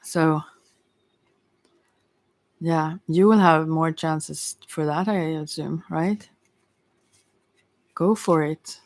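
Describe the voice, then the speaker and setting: A tarot reader giving an informal ASMR reading in a hesitant, rambling way with many pauses. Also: medium pitch, steady tone, no echo. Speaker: Ayurveda therapist.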